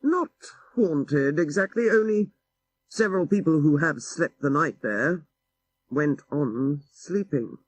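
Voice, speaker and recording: A man voicing a worldly, bookish gentleman in an audiobook story, urbane, knowing and deliberate. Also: on the high side, lively intonation, poor audio. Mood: hinting.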